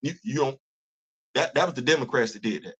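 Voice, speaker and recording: A man in his fifties hosting a casual livestream, talking in an animated, preachy way, often repeating words and restarting his sentences. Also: mid-range voice, brisk, slightly rough. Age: 50s. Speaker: host of a commentary livestream. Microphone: phone.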